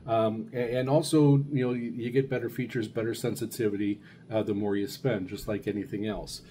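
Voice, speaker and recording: A middle-aged man with a fairly deep, soft-edged, dark voice talks through an informal review. He speaks hesitantly and wanders as he goes. A faint layer of noise sits behind him. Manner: halting, rambling, hesitant delivery. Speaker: radio hobbyist reviewer, show host. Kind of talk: casual unscripted product review. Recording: clear steady background noise.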